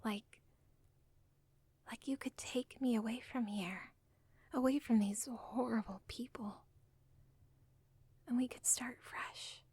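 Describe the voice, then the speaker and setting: A woman's voice: on the high side, fairly even intonation, slow pace, pauses often, darkish tone, husky, no room sound, full character voice. A woman whispering as an unstable villain's daughter. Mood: pleading. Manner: intimate, pleading, self-aware. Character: obsessive lovestruck young villain's daughter, unstable. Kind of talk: ASMR audio role play.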